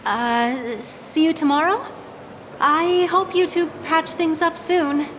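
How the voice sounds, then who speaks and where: Slow-spoken, polite market stallholder. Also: female voice, very high voice, a bit bright, slight background noise, full character voice, low-quality recording. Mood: anxious, placating. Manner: courteous, hesitant, sighing. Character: polite, chatty, hesitant female market stallholder. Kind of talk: video game voice acting.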